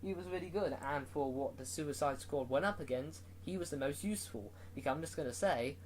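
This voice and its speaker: A man's voice: fairly high pitch, fairly quick, slight background noise, low-quality recording. A man giving a casual, rambling movie review, full of fillers and restarts.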